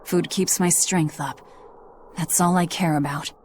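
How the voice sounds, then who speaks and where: A woman's voice: fairly low pitch, fairly even intonation, measured pace, a little hiss or hum, lightly acted. An actress playing a guarded young woman demon who devours her enemies, speaking in a curt, cold manner in a video game cutscene.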